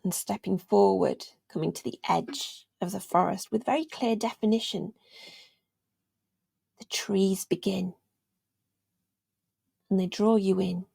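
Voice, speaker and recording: A woman speaks as a meditation guide, slow and soothing with long pauses. Her voice is husky and very creaky, often fading toward a whisper. The sound is close and dry. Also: medium pitch, dry recording. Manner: gentle, soothing, unhurried with long pauses, trailing into near-whisper. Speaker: meditation guide, yoga teacher.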